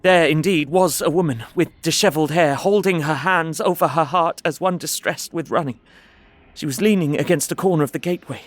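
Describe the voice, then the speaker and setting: A man's voice: on the high side, fairly quick, no echo, close to an everyday voice. A man playing a frightened, despairing young prisoner who narrates his journal earnestly and intensely in an audio drama. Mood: horrified.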